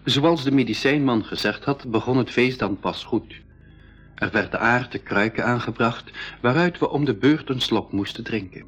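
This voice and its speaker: An actor playing a man who narrates his jungle adventure in a measured storytelling manner for a 1980s radio drama. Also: mid-range voice, husky, dull audio. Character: male expedition member recounting a jungle adventure.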